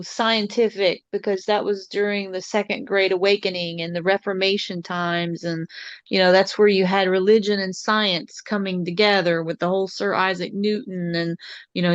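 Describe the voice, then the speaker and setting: A woman's voice: fairly low pitch, no echo. Woman, podcast co-host, chatty, musing, dry sound, slightly dull audio. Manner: chatty, musing, lots of "you know". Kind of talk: casual interview chat.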